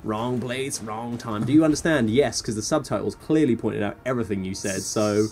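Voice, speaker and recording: A man's voice: on the low side, normal speed, darkish tone, a little hiss or hum. A man co-hosting a let's play, chatting casually and jokingly over the gameplay in a rambling way.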